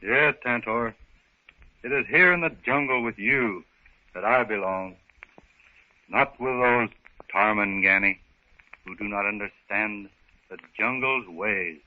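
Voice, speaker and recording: An actor playing a solemn, halting jungle-raised wild man in a 1930s radio serial, on a thin old recording. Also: lowish voice, phone-quality sound. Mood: solemn, tender.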